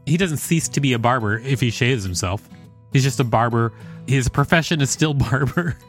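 A man's voice: medium pitch, moderate pace, faint music behind. A man hosting a podcast, reading an encyclopedia article aloud with joking, informal commentary. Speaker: podcast host.

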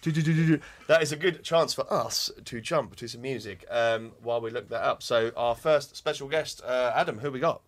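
A man's voice: medium pitch, brisk, hardly any pauses. A man co-hosting a podcast in casual chat, rambling and correcting himself as he reads out a guest bio. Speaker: podcast co-host.

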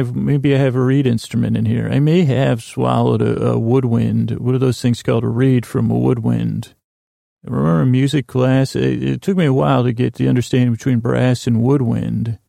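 A man's voice: medium pitch, dark tone, no room sound, clean studio sound. A man hosting a solo podcast in a rambling, stammering monologue, often interrupting himself and drifting off with mock-earnest, self-deprecating whimsy. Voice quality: creaky, gravelly. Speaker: podcast host.

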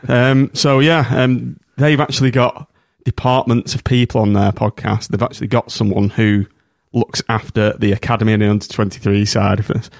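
A man's voice: mid-range voice, fairly even intonation, normal speed, vocal fry, hardly any pauses, no room sound, studio quality. A man hosting a casual podcast chat, friendly and rambling with many restarts. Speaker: podcast host.

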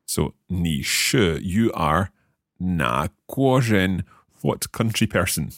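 A man from Scotland leads a relaxed podcast language lesson, talking slowly and repeating each phrase. His voice sits very low but swings widely in pitch. The sound is close and dry. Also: no room sound. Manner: slow, careful, repeats phrases aloud. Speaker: language learner and podcast host.

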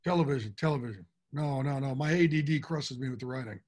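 A man's voice: medium pitch, fast talker, a little mellow. A middle-aged man with a big voice, talking from the heart as an interview guest. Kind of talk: casual interview talk.

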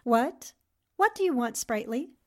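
A woman plays a skeptical lady reporter in a radio drama, amused and teasing. Her voice is high and very animated, with an unhurried, wry delivery.

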